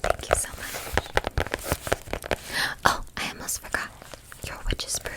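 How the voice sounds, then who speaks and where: A woman doing an ASMR shopkeeper roleplay in a breathy whisper, speaking softly and attentively to the listener. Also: rough recording. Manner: soft, unhurried, attentive to the listener. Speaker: ASMR host playing a candy shop keeper.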